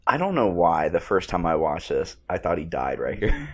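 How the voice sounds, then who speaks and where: A man giving casual, slangy, excitable fan commentary as he watches a movie. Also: low-pitched, normal speed. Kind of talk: casual film reaction commentary.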